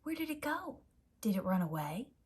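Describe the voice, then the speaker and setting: Storybook boy cat, easygoing and curious, lively rising pitch, played by a female reader. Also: normal speed, breathy edge.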